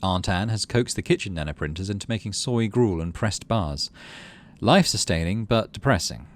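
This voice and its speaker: A man's voice: fairly low pitch, animated, normal speed. A man hosting a gaming video, reading visual novel text aloud and adding casual commentary. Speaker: gaming channel host.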